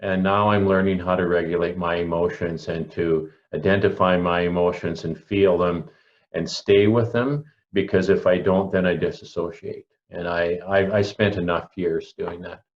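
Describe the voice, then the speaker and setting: A Canadian man in his early sixties giving personal testimony on a panel, reflective and deliberate, repeating himself for emphasis. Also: low-pitched, steady tone, lo-fi sound. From rural Alberta, Canada. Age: early 60s. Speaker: panelist, local pastor.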